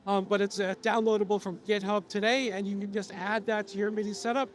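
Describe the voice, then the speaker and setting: A man losing his voice, presenting software features to an audience at a trade show, explaining steadily with frequent filler sounds. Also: high voice, level tone, a little fast, slight background noise. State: losing his voice, coughing now and then.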